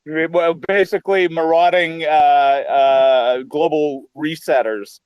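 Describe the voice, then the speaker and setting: A man asks a question on a live audio chat in a joking, hesitant way, with many fillers. He speaks slowly in a smooth, fairly high voice. The sound is dry. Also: steady tone, no room sound, highs cut off. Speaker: audience member asking a question.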